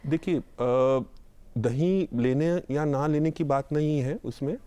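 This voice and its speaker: A man's voice: fairly high pitch, slow pace, warm tone, smooth. A man speaking as a guest doctor, mixing English connectives into his explanations. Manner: mixes English connectives into speech. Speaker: doctor, studio guest expert. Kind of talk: medical advice on a panel show.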